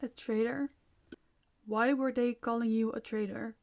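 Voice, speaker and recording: A nurturing vampire mother, acted by a woman, speaks to her child in a slightly high voice. She is gentle, coaxing and concerned, yet firm, in the style of an audio roleplay. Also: fairly even intonation, measured pace, gravelly. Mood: sympathetic concern. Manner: soft-hearted, coaxing, parental.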